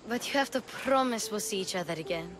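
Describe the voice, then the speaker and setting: A woman's voice: mid-range voice, animated, a little fast, natural voice. A woman playing a plucky, affectionate little girl in a video game, with an eager, earnest way of talking. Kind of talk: cinematic video game acting.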